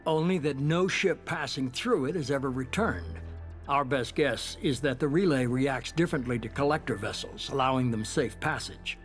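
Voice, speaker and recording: An actor playing a shadowy, calculating middle-aged leader in a sci-fi game, speaking with measured, composed authority. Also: male voice, medium pitch, soft background music, lightly acted. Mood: cool, measured. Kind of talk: cinematic sci-fi game dialogue.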